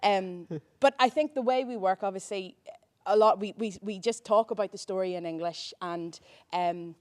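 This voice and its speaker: A woman's voice: medium pitch, moderate pace. A woman with an Irish accent, a screenwriter on a festival panel, speaking hesitantly with many ums and restarts. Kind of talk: panel discussion.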